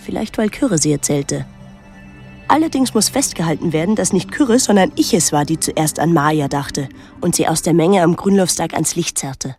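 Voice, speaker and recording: A woman's voice: deep voice, a little mellow, husky, no room sound. Pensive young woman, music underneath. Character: pensive young woman recalling her island summer, detached, introspective. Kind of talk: literary radio drama narration and dialogue.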